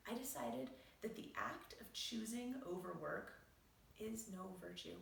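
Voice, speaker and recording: A woman doctor reads from her own book at an even, explanatory pace, her voice slightly gravelly. She sits a little back from the microphone, and the room adds a noticeable echo. A faint ambient hiss stays underneath. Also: lowish voice, recorded from a distance. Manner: measured, explanatory reading. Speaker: physician and author. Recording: roomy echo, voice set back from the mic.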